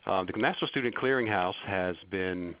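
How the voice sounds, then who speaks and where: A man's voice: low-pitched, fairly quick, clean tone, dry recording. A middle-aged man with a stuffy cold gives a webinar presentation, hesitant with many fillers and restarts. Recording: dull lo-fi webinar audio.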